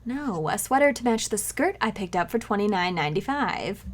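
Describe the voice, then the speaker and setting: A woman's voice: medium pitch, animated, normal speed, close to an everyday voice. A woman playing a cheerful, scatterbrained young housewife in 1940s-style radio comedy, with a breezy, innocently matter-of-fact manner. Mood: sheepish, scheming.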